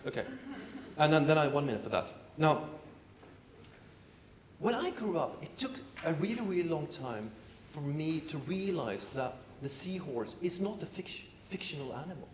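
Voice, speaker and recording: A man's voice: a little high, big pitch swings, moderate pace, slight background noise. A man giving a live performance lecture, rambling with many fillers and repeated words and tossing playful asides to the audience. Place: lecture room at an art academy. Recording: echoey room.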